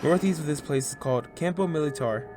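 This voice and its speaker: An actor playing an easygoing, excitable young teammate in an audio drama. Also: male voice, on the high side, brisk, lightly acted. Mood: uneasy. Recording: loud music behind the voice.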